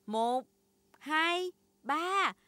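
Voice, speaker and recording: A woman's voice: high voice, expressive, drawn-out words, sharp tone, no room sound, exaggerated voice. A woman voicing a cheerful, reassuring mother pig in a southern Vietnamese cartoon dub.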